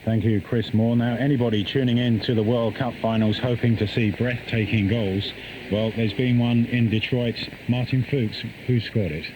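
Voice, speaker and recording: A man's voice: mid-range voice, steady tone, moderate pace. A man presenting a radio sports programme with studio links, heard on shortwave. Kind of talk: studio links and hand-overs. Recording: dull, noisy shortwave radio broadcast.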